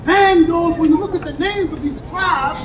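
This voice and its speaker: An American man teaching a street crowd in call-and-response style, emphatic and rising to shouts. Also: extremely high pitch, normal speed. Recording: echoey and distant, with crowd and traffic. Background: crowd responses and passing vehicles.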